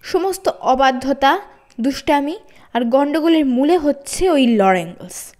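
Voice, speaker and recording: A woman's voice: high-pitched, animated, a little slow, a little mellow. A woman storyteller voicing a stern, indignant, spiteful woman schoolteacher with an emphatic, scolding manner. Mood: accusing.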